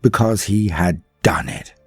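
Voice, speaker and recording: Deep, solemn male storyteller. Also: slightly rough, no room sound. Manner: solemn, theatrical, deliberate pauses. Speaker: storyteller and narrator. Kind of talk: dramatic scripted story narration. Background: faint music.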